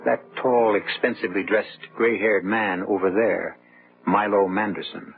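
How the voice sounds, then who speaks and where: A man narrating a scripted radio drama as a measured, scene-setting storyteller. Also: lowish voice, steady tone, vocal fry. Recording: tinny narrow band with music behind.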